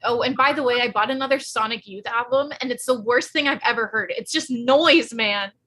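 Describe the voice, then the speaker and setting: A woman acts out a flippant teenage girl in a drama read-through. The voice is high and sharply bright with a raspy edge. She talks in emphatic slang, sarcastic but earnest underneath. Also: a little fast. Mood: pleading, indignant. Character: blunt, sarcastic pregnant sixteen-year-old girl, earnest underneath. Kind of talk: live screenplay table read.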